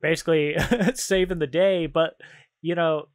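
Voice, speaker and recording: A man's voice: a little high, steady tone, measured pace, clear, resonant tone, no echo, studio quality. A man chatting casually and enthusiastically as a podcast co-host, in informal slangy phrasing. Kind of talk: casual chat about games and films.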